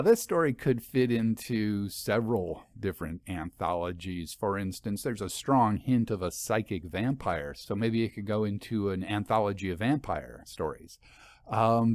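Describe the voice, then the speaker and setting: A man's voice: on the low side, a little mellow, no room sound. Man hosting, musing in a dry sound. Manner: musing, asks rhetorical questions. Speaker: podcast host and anthology editor. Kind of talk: conversational commentary to listeners.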